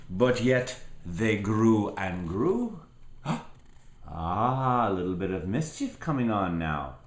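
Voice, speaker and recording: Very slow, animated man reading a storybook. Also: fairly low pitch, echoey room, slight background noise. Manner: theatrical and playful, with drawn-out words, gasps and sound effects. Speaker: father reading a picture book aloud to his small child.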